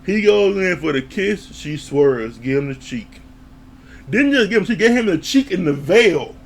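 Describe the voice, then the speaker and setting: A man giving a casual, animated recap of a reality TV episode, laughing, swearing, and acting out what people said. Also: high voice, unhurried, gravelly. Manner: animated, laughs, acts out quoted lines, swears. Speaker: reality TV reviewer.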